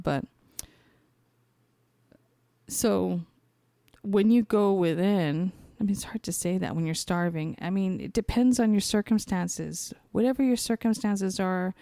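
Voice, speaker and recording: A woman with a mellow, fry-laden voice, recorded close and very dry. She hosts a podcast and speaks in a loose, meandering spiritual monologue. Her delivery is conversational, working out thoughts aloud and pausing to hunt for the next word. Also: medium pitch, no room sound. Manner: conversational, thinks aloud, pauses to search for words. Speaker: podcast host. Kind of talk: casual, rambling spiritual monologue.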